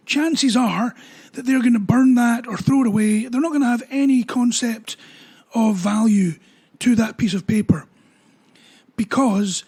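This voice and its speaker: A man, a dog trainer explaining training ideas in a relaxed talk with everyday examples. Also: very high-pitched. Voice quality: husky voice.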